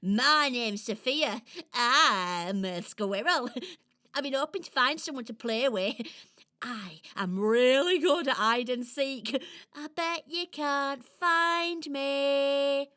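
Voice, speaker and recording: A woman's voice: sits high, takes it slowly, pushed and bright, heavily acted. A woman voicing a playful, boastful female squirrel in a children's radio play, with a giggly, exuberant, theatrical manner.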